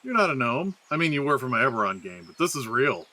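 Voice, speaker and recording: A man with a bright, forward voice at a middling pitch. He acts out scripted comedy lines as himself in an audio skit. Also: very animated, quick pace. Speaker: podcast regular playing himself in a comedy skit. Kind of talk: comedic audio drama acting.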